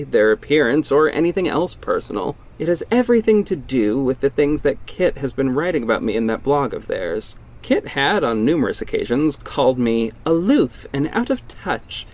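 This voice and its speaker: A man's voice: mid-range voice, a little mellow, natural voice. A man playing a wealthy orphaned young hero in an audio drama, guarded and earnest, with dry sarcasm and a defensive edge. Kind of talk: first-person audio drama narration and dialogue.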